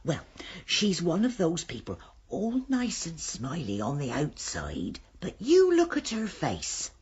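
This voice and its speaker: An actress playing a chatty, forthright village cleaner in a radio drama, confiding and emphatic, husky, with an English accent. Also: female voice, low-pitched, wildly swooping pitch, slow, darkish tone, lo-fi sound, heavily acted. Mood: gossipy.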